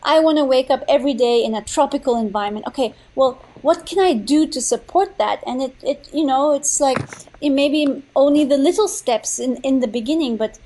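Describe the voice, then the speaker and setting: Bubbly high-voiced young woman. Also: fairly quick, mellow, slight background noise, poor audio. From born in Thailand, raised in India and Nepal. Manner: bubbly, rambling, many fillers and restarts. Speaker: podcast guest, entrepreneur. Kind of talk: casual interview. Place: her home.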